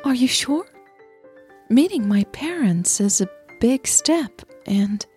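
A woman voicing a playful younger girlfriend in a romance audio drama, speaking softly with a teasing, reassuring manner. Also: a little high, expressive, takes it slowly, breathy edge, soft background music, voice pushed up, heavily acted. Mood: pleased, eager. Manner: soft, teasing, affectionate.

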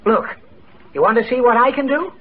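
A man's voice: very high-pitched, expressive, fairly quick. A man playing a crotchety old miser in theatrical 1940s radio comedy style. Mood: gleeful, generous. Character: crotchety old miser Scrooge, comically reformed. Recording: tinny, noisy old radio broadcast.

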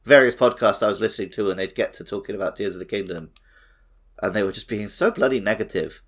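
A man co-hosting a gaming podcast, giving his opinions in an animated, casual way. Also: on the low side, fast, slightly rough. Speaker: podcast co-host. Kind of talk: casual opinionated game chat.

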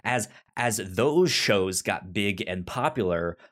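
A man with a stutter chatting casually as a review podcast co-host, in a halting, informal way. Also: fairly low pitch, expressive, a bit bright. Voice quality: stutter, repeating sounds and words.

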